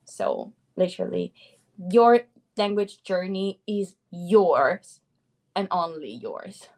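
A woman's voice: on the low side, slow, creaky, darkish tone. A woman in her twenties with an Italian accent, a native Italian speaker chatting as an upbeat interview guest. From Italy. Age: 20s. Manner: upbeat, chatty, laughs often. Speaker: guest, language learner. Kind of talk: casual interview chat.

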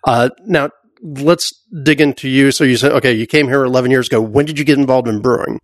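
A man with a mid-pitched voice hosts a casual podcast interview. He talks in a chatty, conversational way, and the sound is dry with very little room. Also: quick pace, dry recording. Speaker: podcast host.

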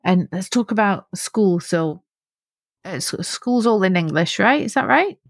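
A woman's voice: medium pitch, lively intonation, measured pace, no room sound, studio quality. Patient, encouraging woman host. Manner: patient, encouraging, asks simple follow-up questions. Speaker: podcast host interviewing a child. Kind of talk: friendly interview questions.

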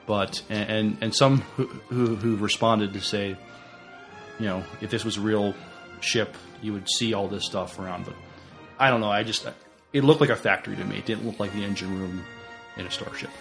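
Hesitant, low-voiced man co-hosting a podcast. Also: fairly even intonation, normal speed, gravelly, fairly bright tone, soft background music. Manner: hesitant, repeats words as he forms opinions. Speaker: podcast co-host. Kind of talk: casual chat.

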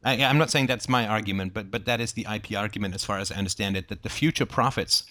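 A man with a fairly low voice takes part in an informal group discussion. He reasons aloud and explains positions through hypothetical examples, in the manner of someone hosting a book club debate. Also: quick pace, pushed and bright.

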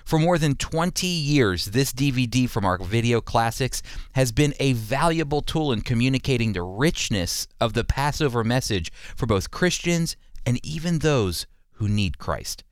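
A man hosting a radio Bible program, teaching and reading scripture in an emphatic, deliberate way with rhetorical questions. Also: medium pitch, expressive, smooth, no echo, studio quality.

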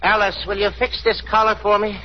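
An actor playing a grumpy, plain-spoken middle-aged father in a 1930s radio drama, husky and blunt, on a muffled old broadcast. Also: sits high, little pitch movement, fairly quick. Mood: grumbling. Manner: grumbling, blunt, homespun.

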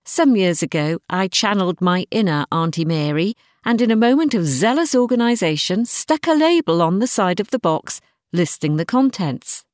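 A woman narrates a diary-like short story in an expressive way. Her pitch sits in the middle range for a woman, and the sound is dry, with very little room around the voice. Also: normal speed, dry recording. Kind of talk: scripted first-person story narration, diary-like.

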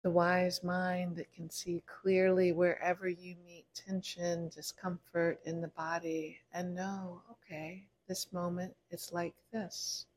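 A woman meditation teacher leading a guided body scan in a gentle, unhurried way, pausing between short phrases. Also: lowish voice, little pitch movement.

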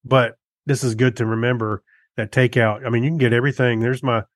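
A man with an average-pitched voice, recorded dry and close. He co-hosts a casual tech podcast and talks haltingly, working out his thoughts aloud as he goes. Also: little pitch movement, no echo. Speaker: podcast co-host.

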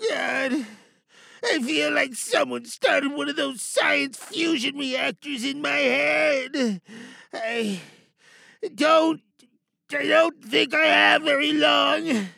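High, creaky dying soldier. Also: male voice, pushed and bright, dry recording, exaggerated voice. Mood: panicked, pained. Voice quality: creaky, strained. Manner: halting, urgent. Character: dying battle-hardened soldier, infected, urging on lieutenant.

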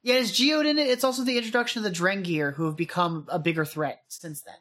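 Woman podcast cohost, kinda low voice, chatty and hyped, says dude a lot. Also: fast, fairly bright tone. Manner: chatty, enthusiastic, slangy, says dude and hype. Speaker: podcast co-host. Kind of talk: casual chat.

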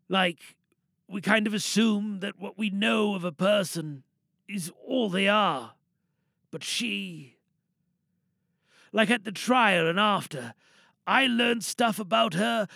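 A man acting a remorseful, guilt-laden confessor in an audio drama monologue, reflective and halting, with a creaky voice. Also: high voice, animated, bright, forceful tone, dry recording, clean studio sound, full character voice. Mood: remorseful, subdued. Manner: halting, reflective, plain-spoken. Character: remorseful man confessing to having taken a woman's life.

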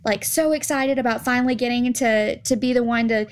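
Young woman, slightly high voice, soft chatty podcast guest. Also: fairly quick. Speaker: event coordinator. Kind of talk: casual podcast conversation.

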